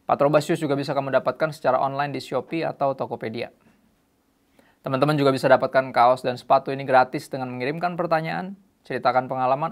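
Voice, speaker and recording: A man's voice: mid-range voice, creaky. A man, presenting a casual religious show for young people as its host.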